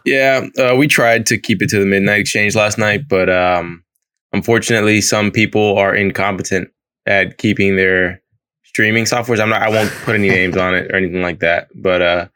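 Young male co-host, low-pitched, dry close sound, jokey. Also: steady tone, moderate pace, fairly bright tone, clean tone, no room sound. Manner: teasing, joking banter. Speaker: podcast co-host. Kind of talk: casual chat. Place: home, on a video call.

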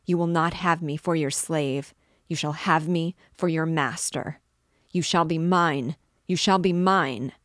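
A woman reading the part of an obsessed, menacing older male priest, declaiming his threats forcefully and commandingly in a low, slow, dry voice. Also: steady tone, no room sound. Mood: possessive.

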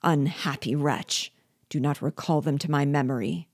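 A woman reading the lines of a stern, honorable young male officer in an audiobook, with a low, reproachful delivery. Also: fairly even intonation, moderate pace, husky, no room sound, clean studio sound.

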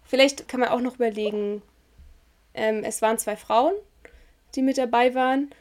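A German woman in a reflective conversation, talking in a thoughtful, measured way and searching for words as she goes. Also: a little high, steady tone.

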